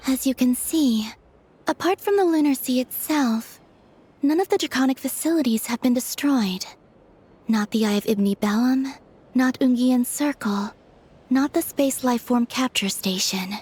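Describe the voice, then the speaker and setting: Calm perceptive young game heroine, highish soft voice, measured n thoughtful. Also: female voice, breathy edge. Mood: thoughtful, analytical. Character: calm, perceptive young woman leading an investigation, gently kind. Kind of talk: video game voice acting.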